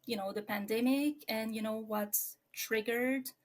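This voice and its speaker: A woman's voice: on the high side, steady tone, measured pace. A woman in her forties from France, with a French accent, talking reflectively about herself as an interview guest. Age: 40s.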